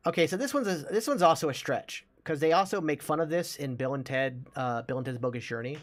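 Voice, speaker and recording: A man's voice: a little high, fast talker. A man chatting casually as a podcast host about films, explaining things with enthusiasm. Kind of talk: casual movie discussion.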